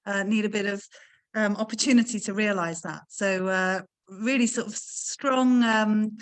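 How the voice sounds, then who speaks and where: A woman hosting an online panel discussion, speaking in a measured way with frequent ums and uhs. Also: mid-range voice. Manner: warm measured hosting with many fillers. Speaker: panel host. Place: video call.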